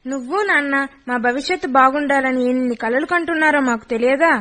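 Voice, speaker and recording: A woman's voice: sits high, fast, background noise, lightly acted. A woman playing a playful younger daughter. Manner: playful, pleading. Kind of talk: radio drama acting.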